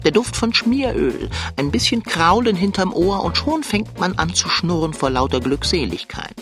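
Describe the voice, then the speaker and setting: A woman's voice: fairly low pitch, expressive, normal speed, faint music behind. A woman voicing a fussy, haughty male tomcat in a children's radio play, with prim, pompous wording. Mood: dreamy musing.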